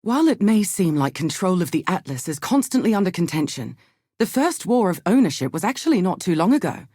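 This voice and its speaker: An earnest, scholarly woman from a fantasy video game, a survivor of a fallen land. Her voice is fairly low and husky, very closely and dryly recorded. She delivers scripted lines in measured, articulate phrases with a grave weight. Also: very animated, warm tone, dry recording, clean studio sound. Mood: thoughtful, informative. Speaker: game character giving lore and quests.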